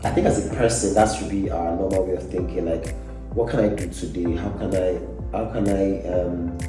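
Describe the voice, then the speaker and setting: A young adult Nigerian man, a guest chatting in a relaxed, thoughtful way with soft laughs. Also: deep voice, moderate pace. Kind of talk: casual interview chat. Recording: echoey room, mic at a distance, faint music.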